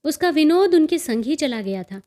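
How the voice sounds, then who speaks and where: A woman reading a literary story as an audiobook narrator, in an expressive, enunciated way. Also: sits high, normal speed.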